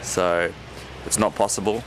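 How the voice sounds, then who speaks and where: Man, lowish voice, casual roadside travel update, loose and unscripted, noisy outdoors. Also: a little slow. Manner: relaxed, many ums, thinks aloud. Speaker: travelling cyclist and surfer, co-host. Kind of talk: casual travel vlog to camera. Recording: loud outdoor ambience behind.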